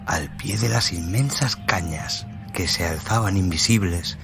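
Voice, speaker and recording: A man narrating a story in a dramatic radio-play style, solemn and suspenseful, with phrase endings that drop to a hush. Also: low voice, creaky. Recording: music bed under the voice. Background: music.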